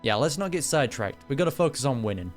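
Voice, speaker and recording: Casting: young male gaming reactor, average pitch, casual jokey slang, faint game music behind. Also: very animated, quick pace. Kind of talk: casual live game commentary.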